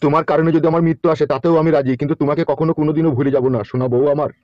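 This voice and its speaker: A man playing a scheming, lecherous politician in a comedy cartoon, crude and smooth-talking, with a West Bengal Bengali accent. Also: on the high side, fairly even intonation, racing, no room sound, muffled recording, lightly acted. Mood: sweet-talking, flirtatious.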